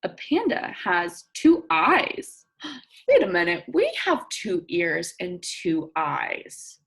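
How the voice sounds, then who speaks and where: Slow, very animated woman teaching young children. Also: on the low side, husky. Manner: expressive, prompting listeners with questions and think-alouds; slow, deliberate sentence reading with simple explanations for children. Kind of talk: interactive nonfiction read-aloud lesson for young children.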